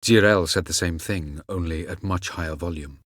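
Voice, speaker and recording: An actor playing an ancient, aloof elven sage in a video game, speaking in a measured, formal and condescending way. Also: male voice, low voice, a bit bright, no echo, studio quality. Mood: dry, measured. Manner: measured, formal, haughty delivery. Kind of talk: scripted video game dialogue.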